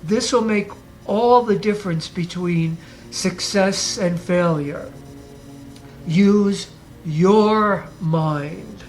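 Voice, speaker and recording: A man hosting a podcast, reading a scripted motivational monologue in a deliberate, emphatic way, his voice raspy as he recovers from the flu. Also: sits high. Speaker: podcast host.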